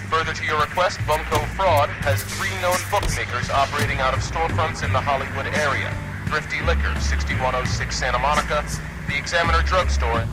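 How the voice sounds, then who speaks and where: A man's voice: on the high side, close to an everyday voice, rough recording. An American man playing a procedural, matter-of-fact police radio dispatcher in a video game.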